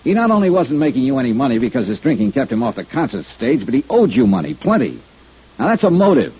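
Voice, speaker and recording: An actor playing a blunt, tough male insurance investigator, probing and brisk, in 1950s radio detective style. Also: on the high side, sing-song, vocal fry, breathy edge, full character voice. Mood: stern, probing. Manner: blunt, probing, hard-boiled. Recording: muffled old radio broadcast recording.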